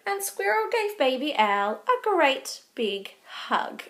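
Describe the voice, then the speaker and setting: A woman in her thirties reading a picture book aloud to young children, slow, gentle and expressive, with asides to her listeners. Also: high voice, slight background noise. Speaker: children's story reader. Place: small room with bookshelves, indoors.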